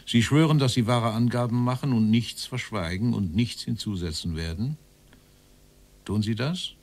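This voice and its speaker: Man acting as interrogator, radio drama, stern, formal, insistent, mid-pitched voice. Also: moderate pace, darkish tone, lightly acted. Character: stern male interrogator questioning a Nazi-era official. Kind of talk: documentary radio drama dialogue.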